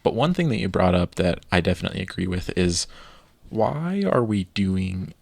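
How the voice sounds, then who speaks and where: A man co-hosting a casual podcast, steering the conversation in a measured way. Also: deep voice, big pitch swings, vocal fry, darkish tone, dry recording. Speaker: podcast co-host.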